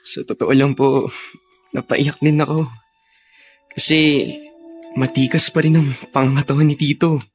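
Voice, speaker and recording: A man playing an earnest, respectful young officer in a Filipino radio drama, polite and gentle, with a Filipino accent. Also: fairly high pitch, little pitch movement, unhurried, pauses often, faint music behind. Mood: earnest, concerned. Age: young adult.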